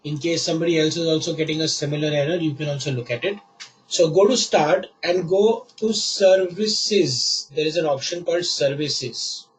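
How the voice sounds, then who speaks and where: A man teaching a live online class while he has a cold, patient and repeating each step slowly. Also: fairly high pitch, poor audio. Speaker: online course instructor.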